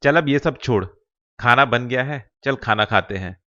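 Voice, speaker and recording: Casting: male actor as ambitious young village man for a cartoon moral tale; earnest, persuasive, mid-pitched, slightly muffled lo-fi sound. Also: normal speed, natural voice. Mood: friendly. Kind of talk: Hindi cartoon moral-story voice acting.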